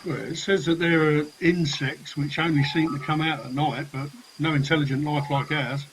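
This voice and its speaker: A man's voice: a little high, steady tone, moderate pace, noisy background, natural voice. A man playing a matter-of-fact science adviser in a comic radio play, explaining things plainly. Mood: informative.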